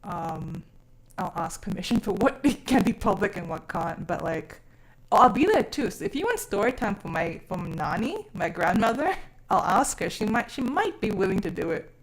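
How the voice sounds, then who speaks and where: A woman from South Africa who grew up mostly abroad talks to her live stream viewers. Her delivery is casual and expressive, with an informal, sweary way of putting things. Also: mid-range voice, normal speed. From born in South Africa, grew up mainly overseas from age six. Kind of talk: casual chat.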